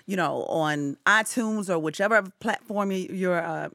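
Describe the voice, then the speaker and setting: A woman's voice: on the low side, a little slow, creaky, a bit bright, dry recording, clean studio sound. A woman, co-hosting a podcast in casual, affectionate chat. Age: 40s. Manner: chatty, affectionate, calls her partner honey. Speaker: podcast co-host.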